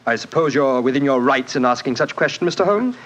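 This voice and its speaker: A man playing an arrogant, hot-tempered mining magnate in a 1940s radio drama, speaking bluntly and forcefully. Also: mid-range voice, lively intonation, quick pace, highs cut off. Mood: stiff, guarded. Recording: 1940s radio broadcast recording.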